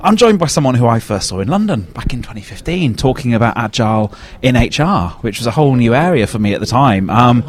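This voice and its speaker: A man's voice: mid-range voice, big pitch swings, quick pace. A man hosting an interview podcast, upbeat and enthusiastic, asking questions and often saying how great things are. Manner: enthusiastic, lots of "awesome". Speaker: podcast host.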